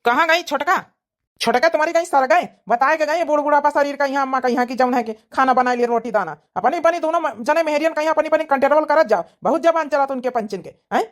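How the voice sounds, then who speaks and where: A woman's voice: high voice, quick pace, lightly acted. A woman voicing an indignant grown village son in a comedy cartoon, scolding and arguing in an Awadhi accent. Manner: scolding, argumentative. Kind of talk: comedy cartoon family quarrel.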